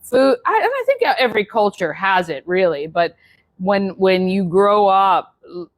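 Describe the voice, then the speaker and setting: A woman's voice: mid-range voice, big pitch swings, measured pace, no echo. A woman hosting a local morning talk show, chatty and upbeat, encouraging her guest. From United States. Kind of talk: casual interview chat.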